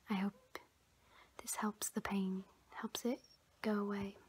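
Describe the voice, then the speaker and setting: A young woman playing a gentle caregiver tending a wounded soldier, whispering breathily and soothingly in an ASMR roleplay. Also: medium pitch, little pitch movement, husky. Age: young adult. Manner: slow, soothing, caring. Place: small indoor room against a draped sheet. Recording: noisy amateur close-up recording.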